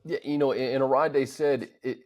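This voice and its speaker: A man's voice: medium pitch, fairly even intonation, moderate pace, a little mellow. A man with a mid-range voice co-hosts a sports talk show. He gives his commentary in an informal, chatty way, with everyday phrasing.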